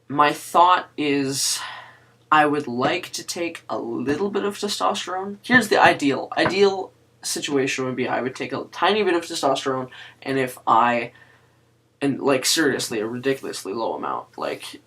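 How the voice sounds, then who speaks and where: Low androgynous-voiced young woman. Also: fairly bright tone, husky. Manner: hesitant, many ums and restarts. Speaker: guest host of a video chat series. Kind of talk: casual talk to camera.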